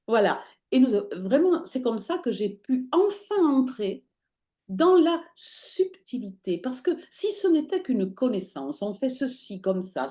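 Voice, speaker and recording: A woman speaks as an interview guest, recounting a personal story in a relaxed, conversational way. Her voice is mellow and warm, and her pitch swings widely as she talks. She often stops to search for words, filling the gaps with hesitations. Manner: lively, searching for words with many fillers. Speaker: interview guest, holistic practitioner.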